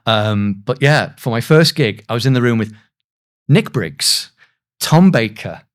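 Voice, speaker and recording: A man's voice: mid-range voice, lively intonation, measured pace. A man in his thirties, a voice actor, telling anecdotes in a casual, self-deprecating way as a podcast guest. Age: 30s.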